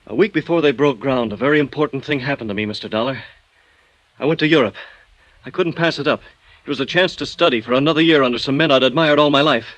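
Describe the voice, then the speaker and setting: An actor playing a bitter, resigned architect just beaten up, speaking with halting, deliberate irony in 1950s radio drama style. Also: male voice, mid-range voice, fairly bright tone, no echo, lightly acted, poor audio. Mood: bitterly reflective.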